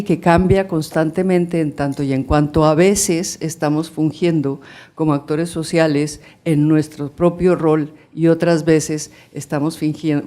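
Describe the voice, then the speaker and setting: A moderator opening a civil society panel discussion in a lecture hall, speaking thoughtfully with pauses and fillers. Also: mid-range voice, dark tone.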